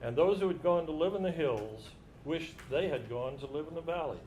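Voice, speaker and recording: A man's voice: on the high side, lively intonation, fairly quick, a little mellow, rough recording. A man performing as a reader in a staged literary dramatization. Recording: live stage recording with background noise.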